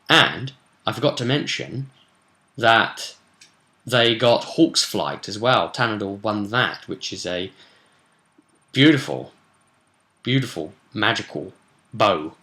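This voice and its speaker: A British man hosts a casual vidcast as a game master. His voice sits a little low but has a bright, forceful edge. He tells the story in a loose, chatty ramble peppered with slang. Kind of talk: casual solo recap of a tabletop role-playing session.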